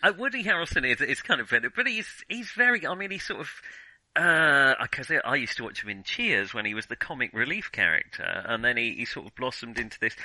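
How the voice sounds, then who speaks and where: A man in a casual film discussion, thinking aloud haltingly with many false starts. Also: a little high, bright, forceful tone, no echo, low-quality recording. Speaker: film podcast host.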